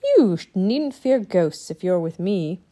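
A woman's voice: mid-range voice, sing-song, a little slow, dark tone. Woman as a bold, jaunty boy adventurer. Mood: confident. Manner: old-fashioned grand phrasing.

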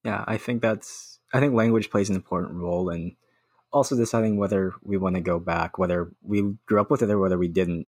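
A man co-hosting a podcast, husky, reflecting and asking long, thoughtful questions. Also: low-pitched, steady tone, fairly quick, a little mellow. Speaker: podcast co-host. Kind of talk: conversational interviewing.

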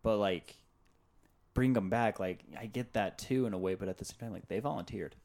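A man's voice: fairly low pitch, moderate pace, darkish tone. A man co-hosting a podcast, speaking in a hedging way full of fillers. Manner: hedging, heavy on fillers like "like" and "you know". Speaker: podcast co-host. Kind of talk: casual chat.

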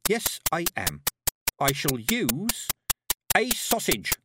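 A man's voice: high-pitched, wildly swooping pitch, moderate pace, very noisy, voice pushed up. A man voicing an earnest, stubborn young witch girl in an audiobook, firm and emphatic. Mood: determined.

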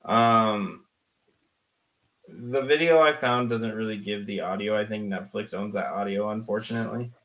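A man's voice: on the low side, moderate pace, fairly bright tone, low-quality recording. A man co-hosting a sports podcast in casual discussion, explaining things chattily with plenty of slang.

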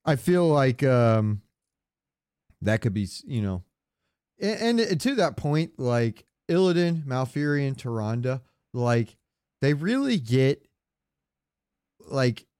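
Man chatting casually, smooth clear voice. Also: medium pitch, big pitch swings, slow, pauses often, a little mellow, no room sound, clean studio sound. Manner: rambling, informal, frequent swearing. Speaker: podcast co-host. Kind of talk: casual banter about video games.

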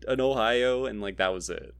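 Young man, casual friend chat, rambling, mid-pitched. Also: normal speed, gravelly, clean tone, no echo. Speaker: podcast co-host.

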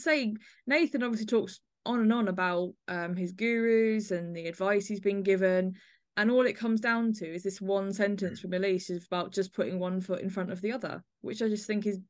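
A woman hosting a podcast, chatting conversationally as she reviews audio drama. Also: medium pitch. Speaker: podcast host.

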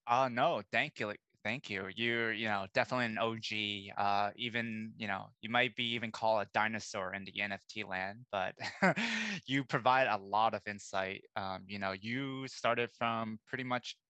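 A man's voice: fairly low pitch, steady tone, moderate pace, few pauses, bright, forceful tone, no echo, dull audio. A man hosting a casual podcast, chatting as he leads the conversation. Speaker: podcast host.

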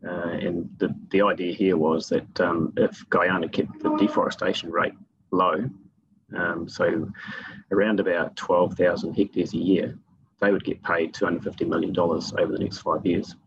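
Deep gravelly New Zealand man. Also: normal speed, dull audio, a little hiss or hum. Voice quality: relaxed, storytelling with many fillers. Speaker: guest. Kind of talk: casual interview talk.

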